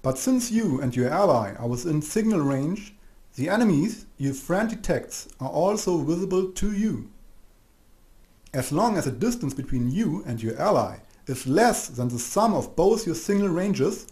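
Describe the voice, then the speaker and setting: A man reading a scripted explanatory narration for an instructional video, measured and didactic, addressing the listener directly. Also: on the high side, sing-song, darkish tone. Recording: roomy, echoing voice-over.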